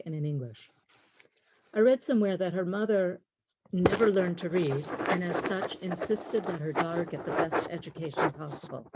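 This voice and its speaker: Woman lecturer, middle-aged, lowish warm mellow voice, dry close sound, measured careful delivery. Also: no room sound, poor audio. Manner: measured, deliberate reading with pauses. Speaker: lecturer on literature. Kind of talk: prepared lecture, reading quotes and poems aloud.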